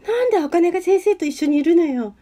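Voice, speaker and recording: Radio drama schoolgirl played by a woman: high-pitched, proud and easily flustered, swinging melodramatically. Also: animated, fast, warm tone. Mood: anxious, determined. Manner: dramatic, tsundere-like, talks to herself. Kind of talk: amateur radio drama acting.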